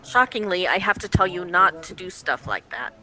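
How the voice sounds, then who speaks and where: Casting: woman as no-nonsense radio-drama police chief, sardonic and weary, with a sharp, bright tone. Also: fairly low pitch, sing-song, normal speed, heavily acted, poor audio. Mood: stern, sardonic. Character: stern, weary female police boss. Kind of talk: radio play dialogue.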